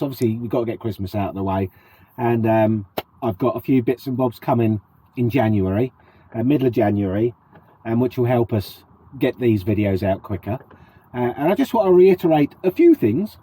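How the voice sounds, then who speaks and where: Sniffly British man with a husky voice. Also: medium pitch, mellow, low-quality recording. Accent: British English. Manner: chatty, rhetorical, asks the viewer questions. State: has a cold, sniffly. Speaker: vlogger. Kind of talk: casual to-camera chat.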